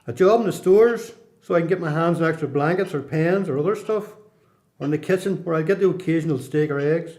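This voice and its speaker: A man with a Belfast accent playing a guarded, streetwise repeat prisoner in a radio play, speaking in a confiding, measured, plain-spoken way. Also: fairly high pitch, a little mellow, close to an everyday voice. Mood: wry, guarded. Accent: Belfast Northern Irish.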